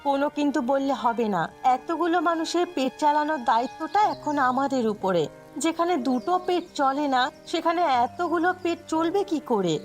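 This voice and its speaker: A woman's voice: sits high, animated, normal speed. A woman playing a practical, worried village wife in a cartoon, with an anxious, cautioning manner. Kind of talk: Bengali moral-story cartoon dubbing. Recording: music behind the voice.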